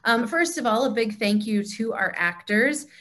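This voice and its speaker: Female discussion leader, fairly high voice, encouraging, conversational, hesitant phrasing, video call. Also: moderate pace, a bit bright, a little hiss or hum. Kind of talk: conversational facilitation.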